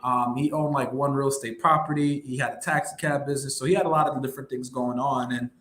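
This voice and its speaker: A man's voice: mid-range voice, fairly even intonation, fast talker, fairly bright tone. Chatty young man in an echoey room. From New York City. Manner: rambling, filler-heavy, upbeat and self-promoting. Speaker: guest, wealth management advisor. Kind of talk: casual interview conversation. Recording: roomy echo with faint background hum.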